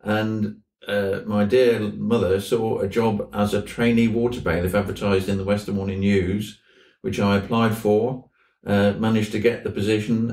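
A man in his seventies from southwest England, reminiscing in an unhurried, anecdotal way with old-fashioned turns of phrase. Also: fairly low pitch, darkish tone. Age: 70s. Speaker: guest, fly fishing instructor. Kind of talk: reminiscing interview conversation. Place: indoors in a tackle shop. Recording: roomy, slightly distant mic.

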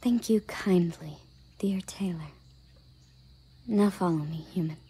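A woman playing a haughty, curious elf warrior in a roleplay audio drama, speaking softly and formally, often near a whisper. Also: lowish voice, full character voice. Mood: respectful. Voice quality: soft, breathy, often whispery. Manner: formal, measured phrasing.

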